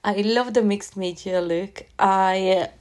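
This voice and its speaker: A woman's voice: mid-range voice, fairly even intonation, slow pace, a little mellow. A woman with a stuffy, nasal voice from a head cold, chattily narrating a craft demonstration as a tutorial host. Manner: chatty, enthusiastic, self-correcting.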